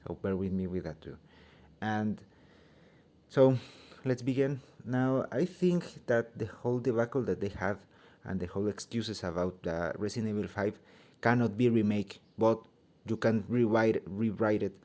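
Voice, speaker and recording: Man, Mexican Spanish accent, low, warm tone, hesitant, restarts, heated opinion talk, phone mic. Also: moderate pace. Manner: hesitant, many fillers and restarts, grows heated. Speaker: vlogger giving an opinion. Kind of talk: casual opinion monologue. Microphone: phone held by hand.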